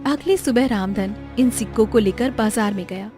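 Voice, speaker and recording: High-voiced woman narrating a story. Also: moderate pace, dark tone. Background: background music.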